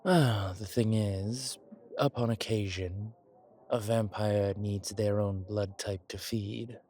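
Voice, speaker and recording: Male actor as courtly old vampire, low voice, suave and genteel, formal phrasing, quietly amused, intimate roleplay drama, audible background noise. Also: fairly even intonation. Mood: wry, confiding. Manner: genteel, formal, hesitant pauses, soft chuckles. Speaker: character in a roleplay audio. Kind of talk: intimate one-sided roleplay audio drama.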